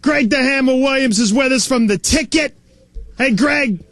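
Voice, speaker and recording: A man's voice: very high-pitched, normal speed, fairly bright tone, dry recording, soft background music. A man playing a brash, scornful sports radio host, bantering and ranting in blustery slang. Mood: brisk, chummy. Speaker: radio host making a prank call.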